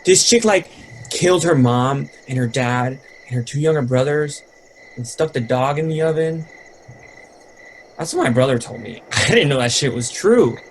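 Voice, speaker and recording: A man's voice: medium pitch, lively intonation, normal speed, slight background noise, close to an everyday voice, rough recording. A man playing a laid-back, teasing young stoner in a dramatic reading, rambling in casual slang. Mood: excited, amused.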